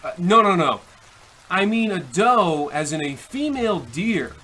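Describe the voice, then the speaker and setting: A man's voice: sits high, sing-song, unhurried, bright, forceful tone, exaggerated voice. A man playing a bumbling trickster devil in a comedic radio play, theatrical and flustered as he haggles over souls. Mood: gleeful, scolding. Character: bumbling, nagged trickster devil striking soul deals. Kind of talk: comedic old-time radio play acting.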